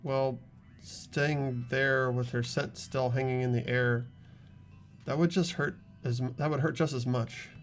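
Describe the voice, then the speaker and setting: A man acting an earnest, resolute high school boy carrying grief, speaking emphatically in an amateur game dub. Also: fairly even intonation, unhurried, soft background music, close to an everyday voice. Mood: grieving, subdued.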